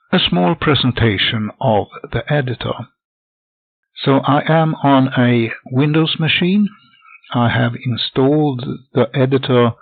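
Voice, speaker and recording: An older man talks slowly and methodically through a software tutorial, his voice gravelly and husky. The recording is dry and tinny, like a phone line. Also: mid-range voice, no room sound. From Sweden. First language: Swedish. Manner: methodical, plain step-by-step explaining. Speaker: hobby game developer.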